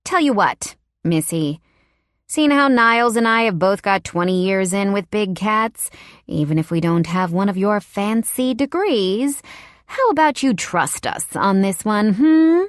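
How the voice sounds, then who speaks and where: A woman voicing a condescending, cold middle-aged woman boss who talks in an oddly flat, patronizing way. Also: medium pitch, measured pace, dry recording, studio quality, voice pushed up, natural voice.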